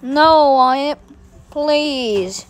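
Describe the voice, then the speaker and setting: A woman's voice: high voice, extremely slow. A woman voicing a whiny young cartoon boy who begs and protests in a homemade skit. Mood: pleading. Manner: whining, begging protests.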